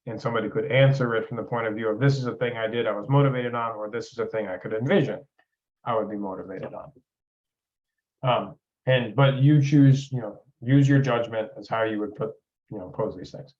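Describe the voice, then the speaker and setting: Male discussion facilitator, mid-range voice, deliberate and explanatory, on muffled lo-fi meeting audio. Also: mid-range voice. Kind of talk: leading a group training discussion.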